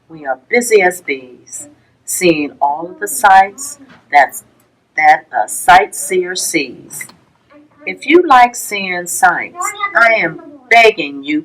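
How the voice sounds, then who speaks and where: A woman with a slightly low voice reads a children's picture book aloud at a slow pace. She follows the rhymes in a sing-song way, pausing between short phrases as a storytime reader does. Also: fairly bright tone, low-quality recording. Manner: measured, sing-song rhyming delivery with pauses.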